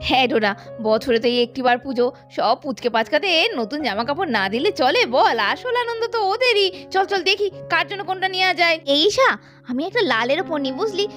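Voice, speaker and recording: Lady doing chirpy young doll woman, super high and quick, gushy sing-song, doll story w soft music under. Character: excited young doll women chattering while shopping for saris. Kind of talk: doll-story role play.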